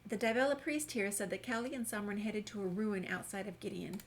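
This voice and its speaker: A woman's voice: medium pitch, fairly quick. A woman gamer giving a chatty, casual walkthrough commentary, reading dialogue options aloud as she plays. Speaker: gamer narrating a game walkthrough.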